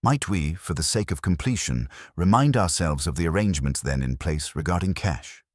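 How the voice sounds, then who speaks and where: A man playing a polite, quietly probing police inspector in a mystery story, understated and courteous. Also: low-pitched, animated, normal speed, no echo, studio quality. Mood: politely probing.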